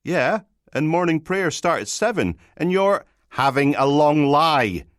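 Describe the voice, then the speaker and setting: A man's voice: fairly high pitch, expressive, a little slow, a bit bright, dry recording, pitched above the performer's own voice. An eager young police constable, as a male audiobook narrator puts him on. He speaks in a raised, chirpy voice with sheepish hesitation and plenty of deference. The sound is close and dry. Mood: anxious, eager.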